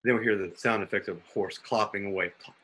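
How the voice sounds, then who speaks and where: Low-voiced man narrating a radio play. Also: steady tone, quick pace, a bit bright. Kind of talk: scripted dramatic narration with sound-cue directions. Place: home room on a video call.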